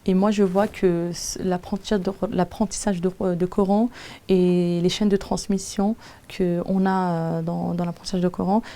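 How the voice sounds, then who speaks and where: A Quran teacher who is a native Arabic speaker gives a halting personal testimony, often pausing to find her words. Her voice is low and gravelly, mellow in tone, with a flat, even delivery. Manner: hesitant, searching for words with many pauses.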